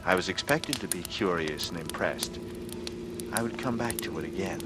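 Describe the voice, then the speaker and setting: A man's voice: deep voice, normal speed, close to an everyday voice. An actor playing a secretly guilty man who confides tensely and feigns innocence, in 1940s radio noir style. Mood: secretly smug. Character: secretly guilty strangler narrating, nervy, falsely casual man from the East. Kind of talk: 1940s radio noir acting, first-person narration. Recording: old radio recording with loud music.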